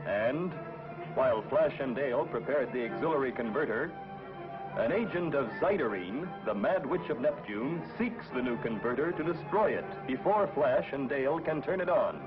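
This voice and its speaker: A man's voice: medium pitch, expressive, moderate pace. A man narrating a 1950s science fiction TV episode in an urgent, declamatory announcer style. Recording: dull 1950s TV soundtrack with music.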